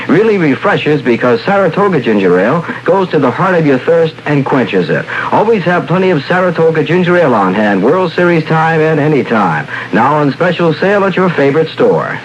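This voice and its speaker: A man's voice: fairly high pitch, sing-song, fairly quick, hardly any pauses. An American man reading a scripted 1960s radio commercial as a station announcer. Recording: dull, slightly noisy 1960s radio recording.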